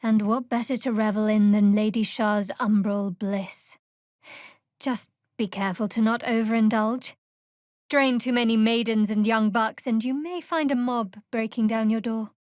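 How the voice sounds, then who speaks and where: A woman as a guarded, sardonic young cleric, higher-pitched. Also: steady tone, darkish tone, no room sound, lightly acted. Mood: teasing, sardonic. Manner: dry, measured, wry. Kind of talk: video game character dialogue.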